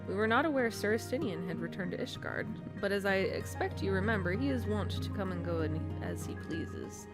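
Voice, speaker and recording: A composed, dutiful lady knight, acted out by a woman in a fantasy game. Her speech is formal and measured, and music plays clearly behind her. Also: medium pitch, expressive, close to an everyday voice.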